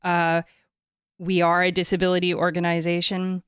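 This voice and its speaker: Smooth-voiced woman hosting a podcast. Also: fairly low pitch, monotone, normal speed, no echo, studio quality. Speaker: podcast host.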